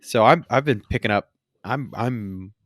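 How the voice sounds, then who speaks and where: A man's voice: medium pitch, unhurried, fairly bright tone, no echo, clean studio sound. A man co-hosting a gaming podcast with casual banter and joking, mock-grand asides. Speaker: podcast co-host.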